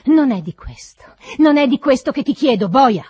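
Storybook master, male, tormented and furious woman performs him: husky, gravelly, frantic shouts, obsessive repetition. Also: medium pitch, lively intonation, unhurried, no room sound, full character voice. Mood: enraged, tormented. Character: furious man, tormented master raging at his servant.

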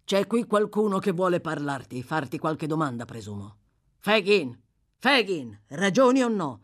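A woman's voice: on the low side, very animated, unhurried. A woman doing a curt male jailer. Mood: firm. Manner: curt, firm commands. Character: gruff male prison jailer, businesslike.

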